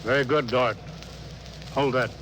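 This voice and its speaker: An actor playing a stern, authoritative starship captain in a 1950s radio drama, speaking in a clipped, commanding way. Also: male voice, mid-range voice, steady tone, unhurried, a bit bright, natural voice. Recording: noisy old 1950s radio broadcast.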